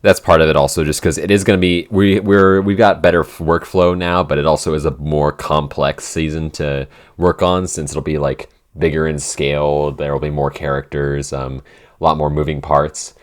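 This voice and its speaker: A man's voice: a bass voice, fairly even intonation, normal speed. A man co-hosting a podcast, chatting in a casual, unscripted, rambling way with an enthusiastic manner. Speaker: podcast co-host and show creator.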